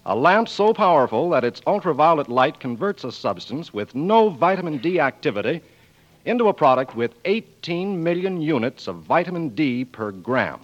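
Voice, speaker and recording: A man's voice: fairly high pitch, sing-song, normal speed. A man with an American accent announcing from a script in a polished, emphatic 1940s radio style. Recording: muffled 1940s radio broadcast. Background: music.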